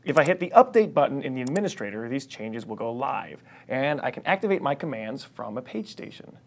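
An American man in his thirties presenting a scripted but conversational software tutorial in an animated, playful way. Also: medium pitch, fairly quick, creaky. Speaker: software training instructor.

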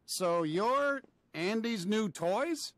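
An actor playing a friendly, take-charge toy cowboy in a video game, folksy and commanding. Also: male voice, high-pitched, lively intonation, drawn-out words, a bit bright, no echo, exaggerated voice.

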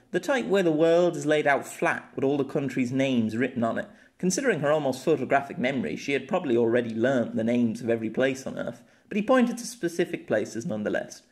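A man reading scripted story narration as an amateur audiobook narrator. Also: mid-range voice.

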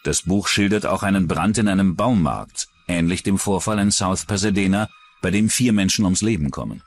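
A man's voice: low voice, normal speed, soft background music. A man reading scripted true-crime documentary narration as the narrator.

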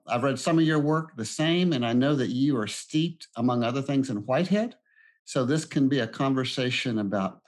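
An older man hosting an interview, greeting his guests in a friendly, conversational way over a video call. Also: medium pitch. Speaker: interview host. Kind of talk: welcoming interview intro.

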